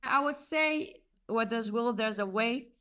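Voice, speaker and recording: Earnest woman guest, thirties, smooth tone, video call from home, slight distance. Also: a little high, measured pace. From Afghanistan. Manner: earnest, animated storytelling. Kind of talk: conversational interview answers. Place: home room, indoors.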